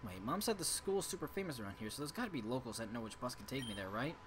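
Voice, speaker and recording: A man's voice: medium pitch, lively intonation, fast, noisy background, voice pushed down, lightly acted. A man voicing an earnest, grumbling sixteen-year-old boy trainer, reading visual novel lines aloud over music. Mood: flustered. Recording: game music behind the voice.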